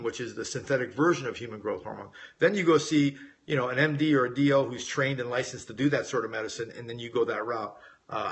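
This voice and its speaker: A man's voice: mid-range voice, fairly bright tone. A middle-aged American man in a casual live-stream chat, reading out viewer questions and answering them informally as a coach. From United States, grew up in Las Vegas. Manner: informal, conversational, addresses viewers directly. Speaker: weight-loss coach hosting a live Q&A.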